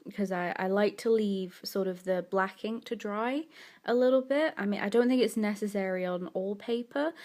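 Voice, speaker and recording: A woman talks casually about her artwork, sounding a little stuffy from a cold. She rambles and corrects herself as she goes, in a mid-pitched voice. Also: moderate pace, a little mellow. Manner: rambling, self-correcting, chatty. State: has a cold, a bit sniffly. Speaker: art vlogger. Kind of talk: casual chat over a drawing.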